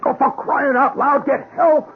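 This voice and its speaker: An actor playing a brash, chatty working-class American cab driver, colloquial and excitable, in a radio drama. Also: male voice, very high voice, a little slow. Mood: panicked. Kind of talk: 1970s radio drama acting. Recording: tinny old radio broadcast, faint noise.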